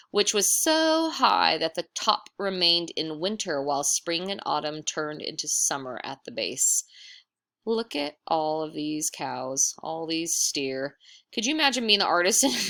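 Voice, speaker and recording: Bright, unhurried woman reading a storybook aloud. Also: on the low side, no echo, clean studio sound. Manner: playful, amused, animated, addresses listeners directly. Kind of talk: picture-book read-aloud with casual asides.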